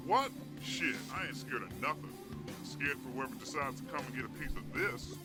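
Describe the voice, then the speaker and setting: A man's voice: medium pitch, lively intonation, normal speed, natural voice. An actor playing a nervy, blustering male gang sentry in a video game, slangy and full of defensive bravado. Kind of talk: video game character acting. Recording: game dialogue with music behind.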